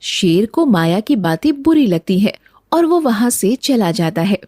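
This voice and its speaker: A woman's voice: medium pitch, very animated, measured pace, mellow, no echo. Woman narrating a cartoon story, dry sound. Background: background music.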